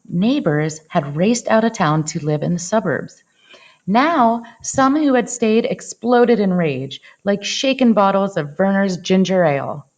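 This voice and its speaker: A woman reading a picture book aloud in an expressive storytelling style, dwelling on action words with dramatic phrasing. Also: on the low side, muffled recording. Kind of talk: expressive children's read-aloud narration.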